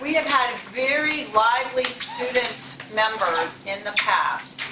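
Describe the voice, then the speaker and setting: A woman's voice: a little high, slow, recorded from a distance, noisy background. A woman, the club president, giving chatty, encouraging welcome remarks to guests in a chilly meeting room. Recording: echoey room, mic from afar.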